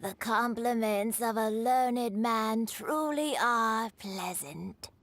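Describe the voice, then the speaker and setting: Slow-talking, courteous old craftswoman. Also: a little high, fairly bright tone, slight background noise. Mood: courteous, proud. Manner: formal, measured, deliberate. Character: elderly woman kite-maker, proud and courteous craftswoman. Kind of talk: video game voice acting. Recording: game music under the voice.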